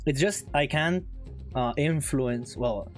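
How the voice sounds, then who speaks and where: A man's voice: fairly high pitch, slow. A man with English as his second language, chatting casually on a live trading stream, laughing often and reading chat aloud. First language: not English. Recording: faint music behind.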